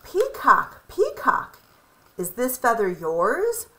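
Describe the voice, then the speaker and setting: A woman's voice: a little high, huge pitch swings, slow pace, sharp tone, full character voice. A woman voicing an earnest, eager little girl in a children's storybook, with an emphatic, singsong delivery. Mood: eager, calling. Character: earnest, eager little girl who treasures her feather.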